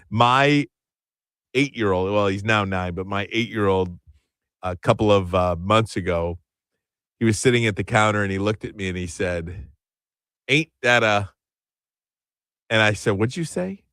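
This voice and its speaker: A man's voice: lowish voice, a bit bright, clean tone, no room sound, clean studio sound. A man hosting an afternoon radio talk show, chatty and self-deprecating. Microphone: studio microphone.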